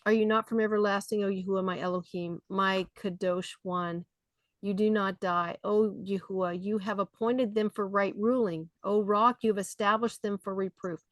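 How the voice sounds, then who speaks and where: A woman's voice: medium pitch, fairly even intonation, moderate pace, no echo. A woman leading a study group, reading scripture aloud and explaining it conversationally. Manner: conversational, with fillers between readings.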